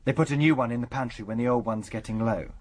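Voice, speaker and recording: Husky, dutiful police sergeant played by an actor. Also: male voice, mid-range voice, quick pace, lightly acted. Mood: dutiful, reporting. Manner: respectful, plain, reporting. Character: male police sergeant, dutiful, plain-spoken subordinate. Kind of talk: BBC radio detective drama.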